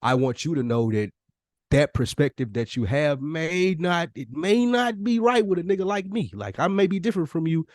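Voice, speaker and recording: A man's voice: on the high side, lively intonation, moderate pace, darkish tone, no room sound, clean studio sound. A man co-hosting a podcast in casual, earnest talk, using slang and profanity and often voicing imagined quotes. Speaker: podcast co-host.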